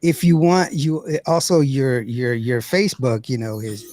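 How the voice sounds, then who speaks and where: A raspy-voiced man hosting a podcast in a casual, rambling way, often repeating words as he goes. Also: medium pitch, a little slow, a little mellow, dry recording, clean studio sound, faint music behind. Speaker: podcast host. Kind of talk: casual chat.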